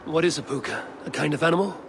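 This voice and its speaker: An actor playing a stern, calm male Norse warrior who speaks in a measured, grave way. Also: a little high, background noise, lightly acted. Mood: curious. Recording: game audio under loud music.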